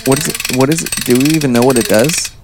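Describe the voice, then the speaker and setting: A man's voice: medium pitch, rapid-fire, background noise. A man co-hosting an improvised comedy podcast, reacting eagerly. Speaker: podcast co-host.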